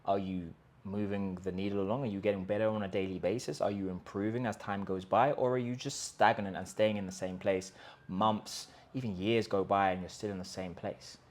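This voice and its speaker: A man with a fairly low voice delivers an emphatic talk to camera. He stacks up rhetorical questions and restarts his sentences as he pushes his point. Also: fairly even intonation, fairly quick. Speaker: host of a language-learning channel. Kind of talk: direct-to-camera pitch talk. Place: in Colombia.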